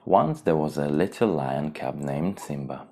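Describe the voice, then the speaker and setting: A man narrating a children's storybook in a theatrical, expressive way. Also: very deep voice, unhurried, a little hiss or hum. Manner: theatrical, expressive, acts out exclamations.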